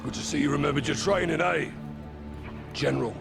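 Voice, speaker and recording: A man's voice: a little high, brisk, gravelly, over loud music. A man playing a menacing soldier in a video game, dryly taunting a captive. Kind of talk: video game cutscene acting.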